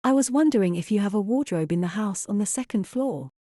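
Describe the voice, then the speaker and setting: A woman's voice: mid-range voice, animated, brisk, warm tone, no echo, clean studio sound, lightly acted. A woman acting a polite, hesitant young mother in an audio drama, courteous and well-spoken. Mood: politely hesitant.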